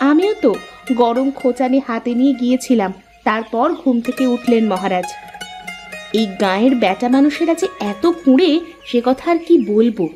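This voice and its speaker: A woman's voice: a little high, normal speed, dark tone, faint music behind, lightly acted. A woman voicing a chatty, exasperated, neighbourly village housewife in a cartoon. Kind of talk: Bengali cartoon dub dialogue.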